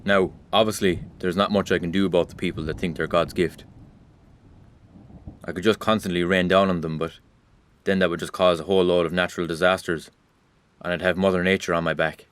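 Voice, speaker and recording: A man's voice: sits low, little pitch movement, fairly quick, smooth, close to an everyday voice. A man playing Rain as a weary observer of humanity, addressing the listener directly in a wry, reproachful audio drama monologue. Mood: wry, sombre.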